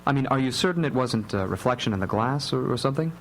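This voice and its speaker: Guy playing a calm jokey dad in a radio play, dry and protective, faint hum in the back. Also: mid-range voice, close to an everyday voice. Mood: serious, protective. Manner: dry, deliberate, mock-formal humour. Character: wry, calm, protective father of three. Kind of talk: radio drama acting.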